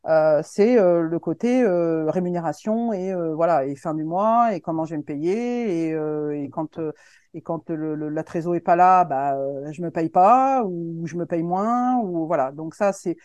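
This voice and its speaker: A woman's voice: lowish voice, a little mellow, no echo. Encouraging woman in her fifties, dry sound. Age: 50s. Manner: earnest and encouraging, halting with frequent restarts. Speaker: guest, web agency founder. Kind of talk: casual podcast conversation.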